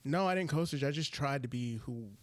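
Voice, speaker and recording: A man's voice: medium pitch, brisk, dry recording. A man with an Ohio accent, a podcast guest chatting casually, laughing often and restarting his sentences.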